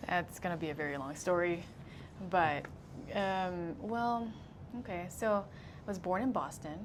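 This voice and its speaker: A woman's voice: fairly low pitch, background noise. Earnest woman sharing her testimony. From grew up in Singapore. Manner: earnest, measured, laughs easily. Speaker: guest.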